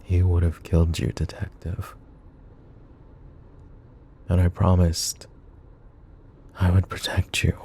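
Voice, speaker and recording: A man voicing a possessive, menacing mafia boss in a roleplay audio drama, speaking calmly and softly with occasional whispers, close to the microphone. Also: slow, long pauses, a little hiss or hum. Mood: tender. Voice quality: low, soft, close-mic murmur with whispers. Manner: calm, controlled, coolly threatening and doting. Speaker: voice actor. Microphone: close ASMR microphone.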